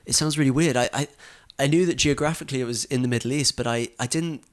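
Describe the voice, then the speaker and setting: A man's voice: medium pitch, quick pace. A young man who grew up in Dubai with a British family, speaking as a guest in a casual interview, hesitant and full of restarts. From grew up in Dubai, UAE, to a British family. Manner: hesitant, many restarts and fillers. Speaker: guest, photographer and radio documentary producer. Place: in London.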